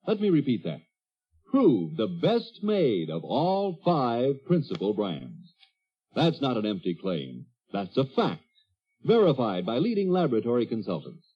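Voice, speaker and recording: A husky male announcer delivers an old-time radio sales pitch. His phrasing is emphatic and deliberate, with hugely varied intonation, on a dull 1940s recording. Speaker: commercial announcer. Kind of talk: 1940s radio advertising read.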